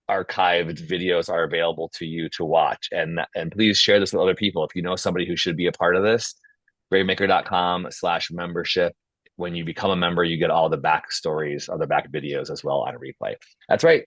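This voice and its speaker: A man's voice: deep voice, steady tone, brisk, no echo. A man hosting an online group call in a casual, encouraging and informal way, often dropping "like" into his sentences. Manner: encouraging, informal, peppers talk with "like". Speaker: host of an online filmmakers' meetup. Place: video call. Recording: dull lo-fi video-call audio.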